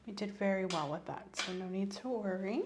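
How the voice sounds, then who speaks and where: A woman doing an ASMR medical exam roleplay as a doctor, speaking softly and sometimes breathily, gently instructing and reassuring. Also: mid-range voice, a little fast, noisy background. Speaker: ASMR creator playing a doctor.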